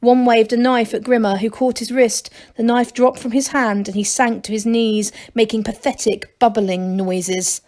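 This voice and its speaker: Female storybook narrator, slightly high voice, reading aloud for children. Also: fairly even intonation, moderate pace, few pauses, darkish tone.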